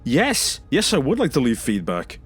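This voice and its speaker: A man's voice: medium pitch, huge pitch swings, moderate pace, slightly rough, soft background music. A man acting in an audio drama as a distressed, sarcastic and exasperated survivor, indignant and pleading. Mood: sarcastic, irritated.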